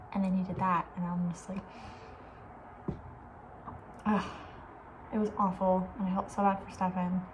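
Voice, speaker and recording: A woman talking softly and breathily in a casual, opinionated ASMR ramble, often slipping toward a whisper. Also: medium pitch, background noise. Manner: chatty, informal, opinionated, trailing off. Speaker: ASMR video host. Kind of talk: soft-spoken ASMR, casual rambling chat.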